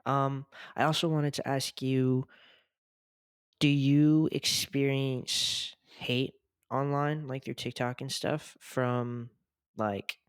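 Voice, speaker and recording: A man's voice: medium pitch, steady tone, takes it slowly, smooth, no echo, clean studio sound. A man hosting a podcast, asking questions casually and chiming in with short encouraging affirmations. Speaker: podcast host. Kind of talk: casual interview chat.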